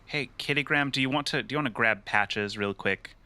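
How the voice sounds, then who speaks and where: A man playing a tabletop roleplaying game, improvising casually in halting, backtracking phrases. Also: mid-range voice, sharp tone, smooth.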